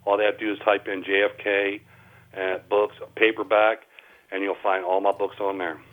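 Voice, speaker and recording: Guy phoned into a radio interview, thin tinny line, lowish voice, folksy casual explaining. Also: little pitch movement, normal speed. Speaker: interview guest and author. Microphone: telephone.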